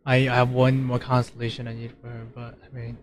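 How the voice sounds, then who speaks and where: A man's voice: mid-range voice, completely flat delivery, a little fast. A man giving casual commentary while he plays a game, rambling and thinking aloud in a self-deprecating way. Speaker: gamer narrating gameplay. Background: game music.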